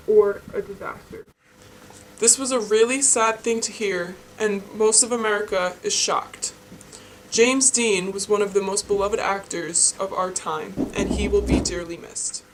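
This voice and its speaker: A woman's voice: mid-range voice, fairly even intonation, moderate pace, background noise. A woman reading a scripted news report as an anchor. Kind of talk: scripted newscast narration.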